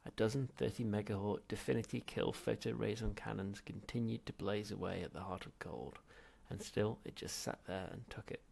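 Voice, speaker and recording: A man reading a comic science fiction novel aloud as an expressive audiobook narrator, with a gravelly, husky voice. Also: fairly low pitch. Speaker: audiobook reader.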